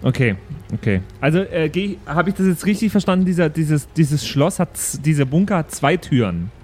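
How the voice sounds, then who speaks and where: A man's voice: a little high, lively intonation, moderate pace, darkish tone. A man chatting casually as a player in an improvised role-playing game, often thinking aloud.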